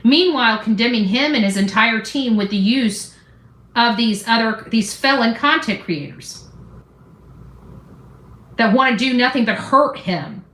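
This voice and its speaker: High-voiced, blunt woman in an echoey room. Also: a little slow, long pauses, fairly bright tone. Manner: blunt, defiant, combative, salty slang. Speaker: YouTube true-crime commentary host. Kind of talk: opinionated live commentary, informal ranting. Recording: echoey, roomy sound.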